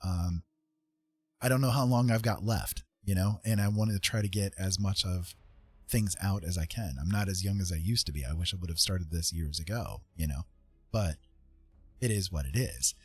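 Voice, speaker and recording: Deep, husky middle-aged man chatting reflectively. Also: moderate pace, darkish tone. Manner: earnest, musing, hesitant. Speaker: livestream host, artist and writer. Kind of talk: casual reflective livestream chat. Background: music.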